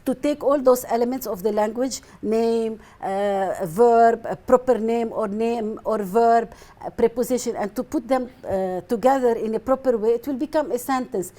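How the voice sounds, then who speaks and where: A woman's voice: on the high side, normal speed, dark tone. A woman speaking English as a guest coach in a TV interview, explaining earnestly and repeating her points. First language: Arabic. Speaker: guest, mind mapping coach. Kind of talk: TV studio interview.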